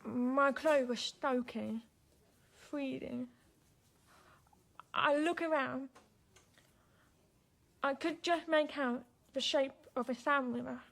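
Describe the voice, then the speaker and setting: A young woman acting in a radio drama as a frightened, insistent teenage girl, speaking haltingly. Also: high voice, creaky, a bit bright, full character voice. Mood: shaken, recounting.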